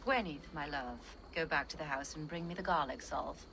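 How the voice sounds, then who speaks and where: A stern village healer. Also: female voice, sits low, moderate pace. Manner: stern, commanding. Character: stern village healer woman, commanding and dry-witted. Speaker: game character. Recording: game audio with background noise.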